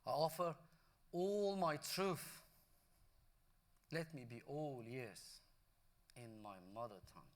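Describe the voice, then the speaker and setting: A man recites poetry slowly at a concert, his phrases lingering and dropping softly at the end of each line. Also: fairly high pitch. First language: Persian. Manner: measured, lingering phrases, soft drop at line ends. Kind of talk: slow spoken-word poetry recital over music. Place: concert hall. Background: instrumental music.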